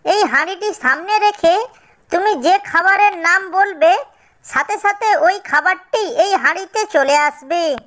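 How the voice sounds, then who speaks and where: A woman voicing a gentle, grateful magical fairy in a cartoon, sweet and reassuring. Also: very high-pitched, measured pace, voice pushed up.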